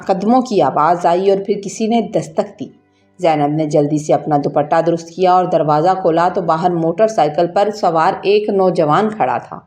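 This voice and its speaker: A woman's voice: lowish voice, darkish tone. A woman reads a scripted first-person story as a storyteller, with an earnest, emotive, dramatic delivery. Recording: music bed under the voice. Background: background music.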